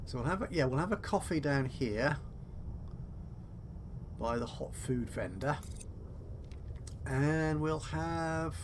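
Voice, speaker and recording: A man's voice: a little high, animated, takes it slowly, long pauses, background noise. A man giving casual let's play commentary, chatty and thinking aloud as he goes. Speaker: gaming video host.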